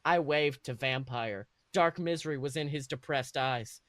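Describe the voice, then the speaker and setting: A man's voice: mid-range voice, unhurried, a bit bright, no room sound. A man hosting a podcast, reading fan fiction aloud in an amused, theatrical, mocking way. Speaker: podcast host.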